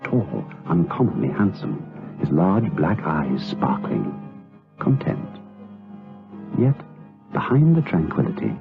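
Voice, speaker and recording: Male actor as gothic drama narrator, solemn, rapt, ornate, measured, thin band-limited audio, music behind. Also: rumbling low, huge pitch swings, vocal fry, full character voice. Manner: grave, measured, ornate delivery. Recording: muffled narrow-band sound with music behind.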